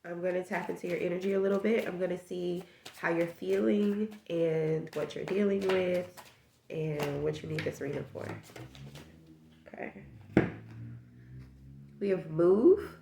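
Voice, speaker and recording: A woman's voice: on the low side, fairly even intonation, unhurried, creaky, long pauses, echoey room, background noise. A woman with a stuffed-up nose giving a casual tarot reading, musing aloud and rambling through possibilities. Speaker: tarot reader.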